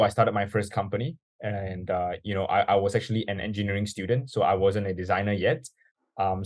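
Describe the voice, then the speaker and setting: A man's voice: fairly low pitch, a little mellow, no echo. A young man from Singapore, telling his story as a podcast guest in an earnest way full of "you know" fillers. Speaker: guest, startup founder.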